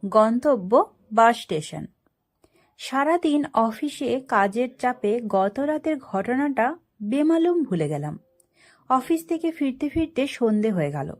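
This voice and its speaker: High-pitched woman narrating a story, very lively. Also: slow. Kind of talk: dramatic first-person story narration.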